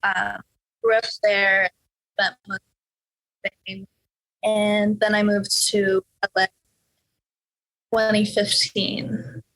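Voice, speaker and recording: A teenage girl from Norway talks through interview questions in a casual, unhurried way. She hesitates often, filling gaps with fillers and starting sentences over. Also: medium pitch, flat delivery, fairly bright tone, low-quality recording. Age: teens. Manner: hesitant, many fillers and restarts. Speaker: interview guest, young musician.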